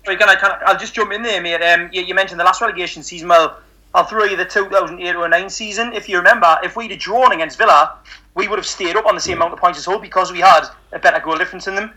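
A man's voice: high voice, steady tone, rapid-fire, pushed and bright, low-quality recording. A man with a Geordie accent discussing football casually as a panellist, emphatic and given to repeating words. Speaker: podcast panellist.

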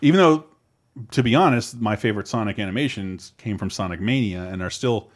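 A man's voice: fairly low pitch, animated, brisk. A man hosting a casual podcast chat. He trails off, restarts his sentences and laughs in between.

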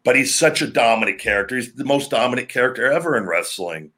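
Guy with a deep booming voice rambling on a podcast, casual chat. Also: expressive, fast talker, pushed and bright. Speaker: podcast host, former pro wrestler.

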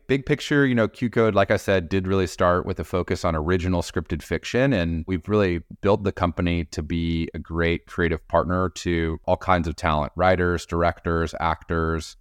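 A man's voice: low-pitched, clean tone, dry recording, studio quality. A man answering interview questions about his company, thoughtful, with fillers and restarts. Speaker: podcast network executive.

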